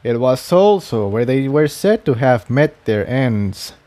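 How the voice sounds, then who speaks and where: Man playing a cautious, mellow-voiced male unicorn. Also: mid-range voice, very animated, a little slow. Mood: explaining. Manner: earnest, wary. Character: cautious, serious, learned male unicorn adventurer.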